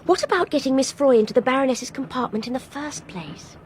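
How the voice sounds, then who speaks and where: Headstrong young English woman, high voice, swooping intonation, urgent, emphatic, radio drama. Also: animated, brisk, slight background noise. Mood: insistent. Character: earnest, headstrong young Englishwoman, insistent and agitated. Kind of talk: British radio drama acting.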